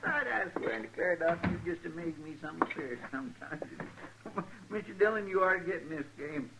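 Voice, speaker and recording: An actor playing a guileless, good-natured, folksy frontier sidekick in a 1950s radio western. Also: male voice, fairly high pitch, lively intonation, fairly quick, vocal fry. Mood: gleeful. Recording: very noisy old radio recording.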